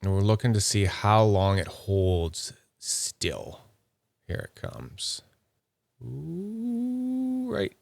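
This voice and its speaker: A man with a slightly low voice talks casually through an unboxing, thinking aloud as he handles each item. He sounds nerdy and upbeat. The sound is close and dry, with no room echo. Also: very animated, drawn-out words, gravelly, darkish tone. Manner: chatty, thinks aloud while handling items. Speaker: YouTube fidget toy reviewer.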